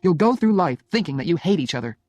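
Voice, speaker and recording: A man's voice: fairly high pitch, very animated, fast, darkish tone, no room sound, voice pushed up. A man voicing a young wife in an audiobook story, hurt and exasperated, pleading with emphatic emotion. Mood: earnest reproach.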